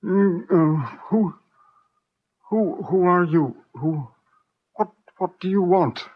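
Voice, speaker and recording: An actor playing a frightened, drugged villain in an old radio drama, groggy, halting and stammering. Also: male voice, high voice, lively intonation, exaggerated voice. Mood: groggy, confused. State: groggy from drugs, as acted. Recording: muffled old radio recording.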